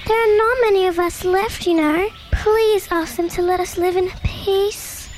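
A woman voicing a little boy koala cub in a children's story, squeaky and pleading. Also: steady tone, a little slow. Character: little boy koala and tiny possum, timid.

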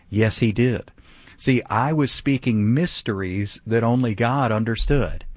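A man's voice: medium pitch, no room sound. Emphatic male radio Bible teacher. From grew up speaking some Vietnamese. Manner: emphatic, rhetorical questions. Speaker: pastor.

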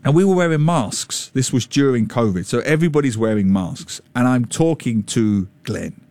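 A man in his fifties hosting a radio talk show, telling stories in a chatty, jokey way with a slightly loud voice. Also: mid-range voice, moderate pace, dry recording. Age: 50s. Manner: chatty, jokey, animated storytelling. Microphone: studio microphone.

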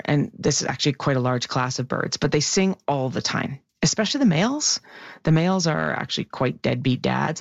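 A woman explains bird research on the radio with real enthusiasm, her voice gravelly and naturally loud. The sound is dry and a little muffled. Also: low-pitched, dry recording. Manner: lively, animated explainer, self-described loud voice. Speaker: science columnist. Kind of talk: radio science segment chat.

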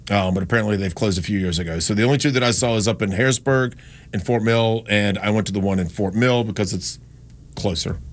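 A man's voice: fairly low pitch, fast talker. Chatty self-mocking radio host man. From Gastonia, North Carolina. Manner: rambling, self-deprecating, chatty. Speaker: radio talk show host. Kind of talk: casual talk radio chat. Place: radio studio. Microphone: studio microphone.

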